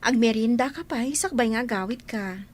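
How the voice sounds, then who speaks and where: A polite young housemaid played by a woman with a fairly high voice and an Ilocano Filipino accent. She sounds dutiful and deferential, in the manner of radio drama. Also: normal speed, close to an everyday voice.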